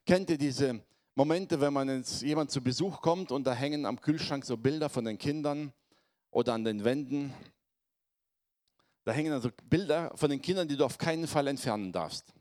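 A man's voice: mid-range voice, moderate pace, darkish tone. Man with a cold preaching a sermon. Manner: conversational, addresses congregation with tag questions. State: has a cold, voice affected. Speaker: pastor.

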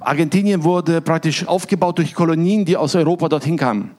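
A man with a slightly high voice interprets a sermon live, line by line. He talks quickly and fluently and now and then pauses briefly to correct himself. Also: darkish tone. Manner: quick, fluent, with small hesitations and self-corrections.

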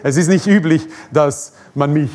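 A man's voice: fairly high pitch, moderate pace, a little mellow. A man from Croatia, preaching a fervent, repetitive sermon and prayer with joking asides.

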